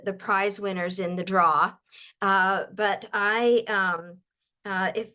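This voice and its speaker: An older woman from Ontario giving a webinar talk, her voice a little croaky, halting often with fillers and restarts. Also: medium pitch, a bit bright. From Ontario, Canada. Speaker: guest speaker.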